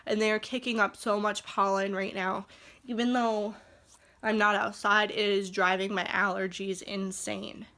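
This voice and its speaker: A woman's voice: medium pitch, bright, forceful tone. A young woman, a stay-at-home mom, talking casually to her camera at home with a stuffed-up nose from allergies, rambling and heartfelt. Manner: rambling and heartfelt, growing indignant. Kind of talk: casual vlog talk to camera. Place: indoors at home.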